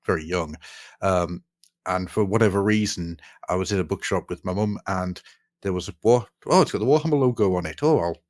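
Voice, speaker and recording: Very deep-voiced man chatting casually. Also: fairly quick, a little mellow. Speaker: podcast host. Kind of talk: casual podcast chat.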